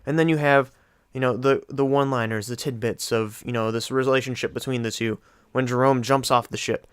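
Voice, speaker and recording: A man's voice: mid-range voice, fairly even intonation, a little fast, clear, resonant tone, no room sound. A man hosting a video countdown, giving casual, rambling, enthusiastic commentary off the cuff.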